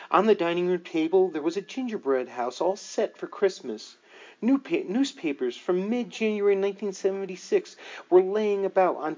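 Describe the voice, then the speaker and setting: A man narrating a book aloud in a deliberate, measured storytelling manner with dramatic emphasis. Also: high voice, a little mellow, muffled recording. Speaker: audiobook reader.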